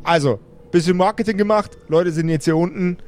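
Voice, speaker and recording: Man playing a brash, grumbling necromancer boss, higher-pitched. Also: fairly quick, darkish tone, lightly acted. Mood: gruff salesman. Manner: slangy, coarse, rambling, stammering repetitions. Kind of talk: improvised pen-and-paper audio play.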